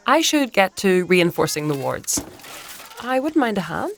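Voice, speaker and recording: A young female ward-keeper in a dark fantasy audio drama. She sounds wise and composed, with a mid-pitched, expressive voice that moves freely up and down. Her manner is poised and a little coy. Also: measured pace, slight background noise. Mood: shyly inviting.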